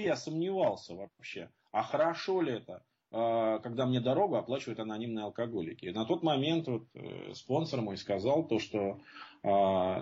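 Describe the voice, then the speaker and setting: Husky guy explaining his opinion off the cuff, point by point, kinda muffled lo-fi recording. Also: mid-range voice, a little mellow. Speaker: guest speaker at support group seminars. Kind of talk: informal spoken answer to a question. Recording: dull lo-fi audio.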